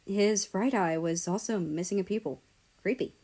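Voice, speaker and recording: A woman narrating a scripted first-person story in a measured, deliberate way. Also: mid-range voice, dark tone. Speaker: audiobook-style reader of fan fiction.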